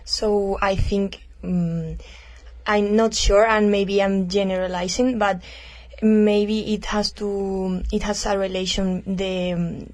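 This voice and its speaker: A woman's voice: medium pitch, flat delivery, slow pace, darkish tone. Young woman hosting a radio chat, friendly, kinda hesitant with lots of ums. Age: young adult. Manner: friendly, hesitant with fillers when giving opinions. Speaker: radio show host.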